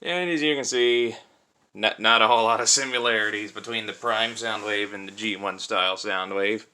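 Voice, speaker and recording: A man's voice: fairly low pitch, fairly even intonation, moderate pace, bright, forceful tone, clean tone. A man giving a casual hands-on product review in an informal, conversational way, thinking aloud as he demonstrates. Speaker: toy reviewer and host.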